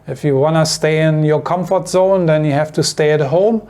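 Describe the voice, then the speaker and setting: A man's voice: a little high, moderate pace, mellow. A man from Germany with a strong German accent, German being his mother tongue, talking casually to camera as a vlogger, rambling and repeating himself with many fillers and "you know" and "right?" tags.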